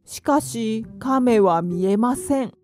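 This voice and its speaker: A woman's voice: on the high side, expressive, takes it slowly, a little mellow. A woman narrator reading a scripted children's fairy tale with careful storytelling phrasing. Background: music.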